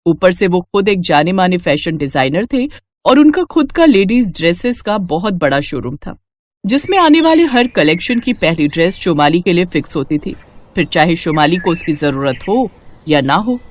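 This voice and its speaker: A woman's voice: fairly low pitch, very animated, moderate pace, dry recording. A woman narrating a scripted story as a storyteller.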